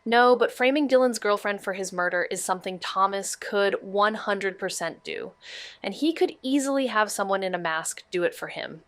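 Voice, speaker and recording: A woman's voice: medium pitch, normal speed, dry recording, close to an everyday voice. A woman playing a level-headed, sardonic young amateur detective in an audio drama, speaking casually and matter-of-factly. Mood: focused, dry. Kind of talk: scripted audio drama dialogue.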